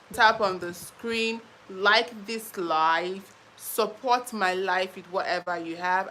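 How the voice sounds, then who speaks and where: A woman's voice: medium pitch, pushed and bright, a little hiss or hum. A young Nigerian woman with a Nigerian accent hosts a casual live stream, chatting playfully, reading viewer comments and laughing often.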